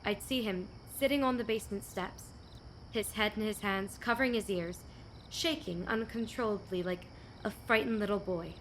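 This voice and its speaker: A woman's voice: mid-range voice, moderate pace, a little hiss or hum, natural voice. A young woman acting a proud, bitter, fiercely independent young woman in a radio play, guarded and terse. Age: young adult.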